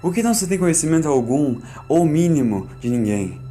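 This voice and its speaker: A Brazilian man narrating a scripted fan audiobook in a solemn, deliberate, dramatic way. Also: a little high, big pitch swings, warm tone, soft background music.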